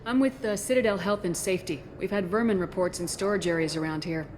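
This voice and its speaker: A woman's voice: on the low side, fast talker, slight background noise, close to an everyday voice. A woman playing a confident space soldier in a video game, terse, assured and businesslike. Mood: assured, bluffing. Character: confident, brisk female space soldier on surveillance.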